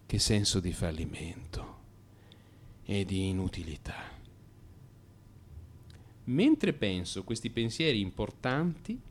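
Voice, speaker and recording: An actor playing an anxious, brooding married man in crisis, in an intimate, halting radio monologue. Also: fairly low pitch, big pitch swings, a little hiss or hum. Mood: brooding, hushed.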